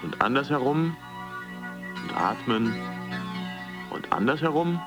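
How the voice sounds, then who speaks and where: An actor playing a fitness studio trainer with a creaky voice, giving instructions and studio pitches in a radio drama. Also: male voice, animated, takes it slowly. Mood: instructing. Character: brisk male fitness studio trainer with sales jargon. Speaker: fitness coach. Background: music.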